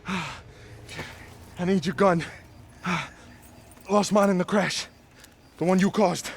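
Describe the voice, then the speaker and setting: A high-voiced soldier medic from a war video game. He is hot-tempered, loyal and scolding, speaking with emphatic urgency over faint vehicle noise. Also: slow pace. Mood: gruff, brisk. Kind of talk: dramatic video game acting.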